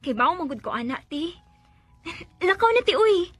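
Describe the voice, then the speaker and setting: A woman's voice: very high voice, sing-song, normal speed. A woman acting in a Bisaya radio drama as a meek, despairing schoolgirl rejected by her family, pleading emotionally. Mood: resigned, sad.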